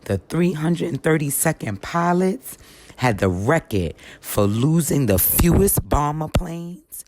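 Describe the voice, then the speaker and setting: A woman reading aloud as a folksy, plainspoken grandmother narrator of a history storybook, in a measured storytelling manner. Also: sits low, darkish tone, a little hiss or hum, heavily acted. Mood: proud. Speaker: reader of a children's history book. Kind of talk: scripted storybook read-aloud in first person.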